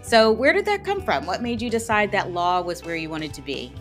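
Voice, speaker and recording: An American woman, a podcast host and academic dean, asking measured, reflective questions in a conversational interview style. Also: mid-range voice, expressive, faint music behind.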